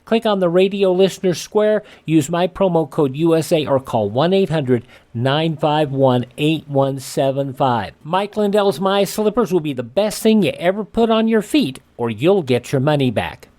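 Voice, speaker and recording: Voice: medium pitch, animated, normal speed, few pauses, darkish tone. A radio host reading scripted segments in a folksy way, dropping in personal asides.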